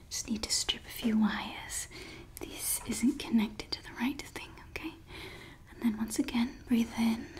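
A woman's voice: a little high, husky, exaggerated voice. A woman whispering as a fussy evil scientist. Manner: whispered, soft and close. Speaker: ASMR creator. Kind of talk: ASMR roleplay. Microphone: close ASMR microphone.

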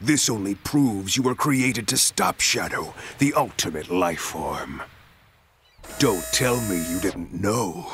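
An actor playing a gloating demonic shadow villain in a video game, ominous and theatrical. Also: male voice, lowish voice, expressive, a little hiss or hum, full character voice. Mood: taunting. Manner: slow, ominous, theatrical delivery. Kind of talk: video game cutscene acting. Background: loud background music.

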